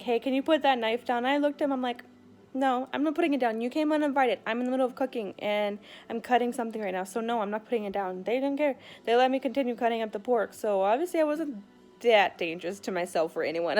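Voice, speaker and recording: A woman's voice: fairly high pitch, darkish tone. A woman talking candidly and casually in a rambling personal vlog, retelling events and conversations as she goes. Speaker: vlogger.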